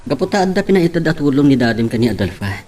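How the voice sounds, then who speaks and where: Man acting a mid-pitched, frank company man. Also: sing-song, fast talker, dark tone. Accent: Filipino. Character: businesslike company man, frank and matter-of-fact. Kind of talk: audio drama acting.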